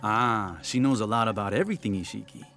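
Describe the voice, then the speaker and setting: A man's voice: fairly low pitch, quick pace. A genial proverb-quoting townsman. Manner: warm, sage, proverb-quoting. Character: wise, genial man from a hidden city. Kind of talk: video game cutscene dialogue.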